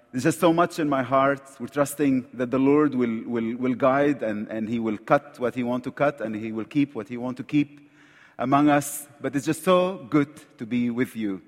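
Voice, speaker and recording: A man's voice: fairly high pitch, in a large hall. A man from Egypt with an Egyptian accent, preaching a sermon as a visiting preacher, earnest and repeating words as he searches for his phrasing. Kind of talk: sermon with prayer. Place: church sanctuary.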